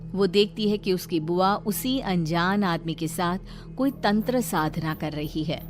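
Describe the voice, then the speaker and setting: A woman's voice: fairly low pitch, unhurried, faint music behind. A woman narrating a scripted horror cartoon story.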